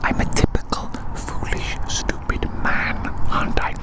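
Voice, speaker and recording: A man speaking in a breathy whisper, rambling softly in an ASMR style and repeating phrases slowly. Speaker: ASMR creator.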